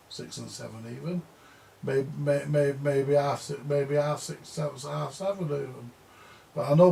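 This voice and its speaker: A man's voice: mid-range voice, gravelly, darkish tone. An older man who repairs vintage audio gear, rambling and grumbling in a casual monologue, repeating himself and swearing now and then.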